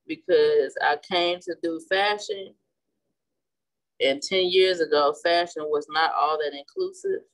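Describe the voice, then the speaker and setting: Woman, 30s, Midwestern accent, relaxed and chatty craft instructor, often restarts mid-sentence. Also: low voice, takes it slowly, pauses often, low-quality recording. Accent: Midwestern American. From St. Louis, Missouri. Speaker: florist and class instructor. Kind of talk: casual demonstration while painting. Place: indoor flower shop worktable.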